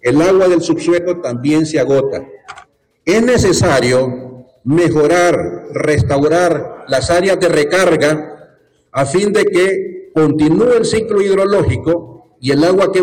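A man, a government minister, answering a reporter's question at a press conference in a deliberate, formal way. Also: fairly high pitch, a little mellow, rough recording.

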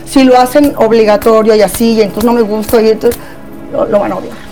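A woman's voice: a little high, steady tone, brisk, over music, rough recording. A woman with a Mexican accent, a therapist guest in a casual panel chat, talking in a chatty way with many tag questions.

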